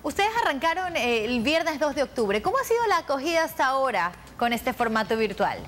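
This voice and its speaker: A woman's voice: sits high, sing-song, fairly quick, fairly bright tone. A young woman presents a television show. Her delivery is polished and made for broadcast, with music playing underneath. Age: young adult. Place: television studio. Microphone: lapel.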